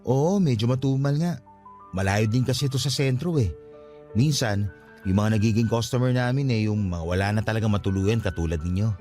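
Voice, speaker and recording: A man's voice: on the low side, fairly quick, darkish tone, close to an everyday voice. Man playing polite Filipino caretaker, music underneath. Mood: reflective. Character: helpful young male caretaker of a haunted guesthouse, calm, respectful. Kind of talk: Filipino radio drama acting.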